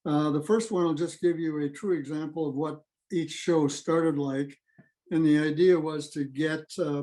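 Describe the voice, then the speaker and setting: A man's voice: on the high side, warm tone. A man presenting at a club meeting on a video call, informally introducing clips. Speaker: presenter at an old-time radio club meeting. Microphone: video call microphone.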